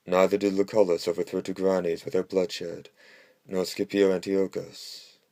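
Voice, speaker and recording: Male audiobook narrator, low and extremely even, measured delivery for classical history. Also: vocal fry, dry recording.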